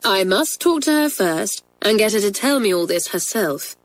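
A woman voicing a kind, gentle headmistress who speaks in a calm, measured way in a storybook reading. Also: medium pitch, close to an everyday voice. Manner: calm, measured, soft-spoken. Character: kind, gentle female headmistress, middle-aged.

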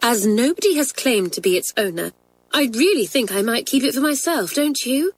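Storybook schoolgirl, voiced by a female narrator: higher pitch, anxious, earnest, pleading and soft-hearted. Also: sing-song, fairly quick, creaky, husky, heavily acted.

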